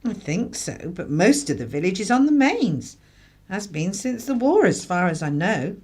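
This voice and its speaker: A woman's voice: medium pitch, sing-song, moderate pace, a little mellow, husky. An actress playing a flustered, guarded mother of a young boy in a radio drama, fussing and apologetic.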